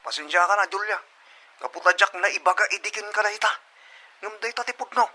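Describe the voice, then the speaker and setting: A man's voice: high-pitched, fast talker, sharp tone, heavily acted. A man playing an evasive, cold Filipino boyfriend in a drama, firm and dismissive. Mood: cold, apologetic. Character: evasive, cold boyfriend Jerry, breaking off romance. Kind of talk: Ilocano comedy radio drama acting.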